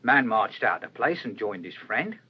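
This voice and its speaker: A chatty, baffled working-class waiter in a radio play. His husky voice leaps up and down as he spins his colloquial tale, on a dull recording. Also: fairly low pitch, moderate pace. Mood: animated, baffled. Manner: colloquial, animated storytelling. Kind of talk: radio crime drama acting.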